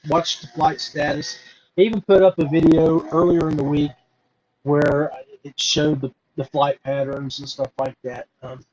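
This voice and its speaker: A middle-aged or older man hosting a podcast, rambling casually to viewers in a halting, folksy way and repeating words. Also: on the high side, darkish tone, low-quality recording. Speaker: podcast host.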